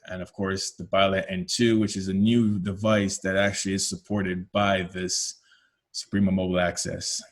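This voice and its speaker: A Canadian man speaking at a fairly low pitch walks listeners through a product webinar. His tone is explanatory and loose, with many ums and uhs along the way. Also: level tone, normal speed. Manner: explanatory, many "um" and "uh" fillers, self-corrections. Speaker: technical team lead presenting a product webinar. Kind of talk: webinar presentation.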